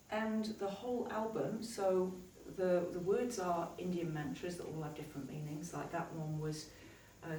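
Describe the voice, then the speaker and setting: A woman with a low contralto voice telling a story to a live audience, acting out what people said. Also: a little hiss or hum. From New Zealand. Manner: animated storyteller, acts out quotes. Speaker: healer and live event host. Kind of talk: chatty stage talk to an audience. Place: live event venue. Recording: echoey hall, heard from a distance.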